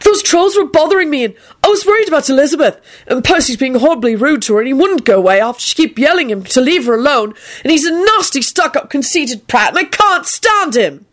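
A woman voicing an awkward, loyal young man who is anxious, earnest and quick to temper. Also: a little high, lively intonation, a little mellow, no echo, pitched above the performer's own voice. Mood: desperate, indignant. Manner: blurting, flustered.

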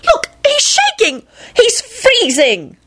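Shrill, screeching young drama queen. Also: wildly swooping pitch, slow, a bit bright, heavily acted. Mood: wailing. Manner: screechy, wailing, whiny. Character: shrill, squealing, dramatic young woman, easily outraged.

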